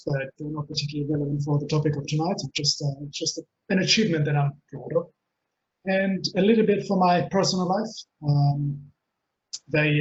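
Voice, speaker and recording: A middle-aged man from Israel with a mixed Israeli accent, presenting an earnest webinar talk full of hesitations and fillers. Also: on the high side. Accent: Israeli, mixed with Texan, Scottish and London. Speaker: webinar speaker and coach. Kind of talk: presenting a pre-recorded webinar. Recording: dull lo-fi webinar audio, faint hiss.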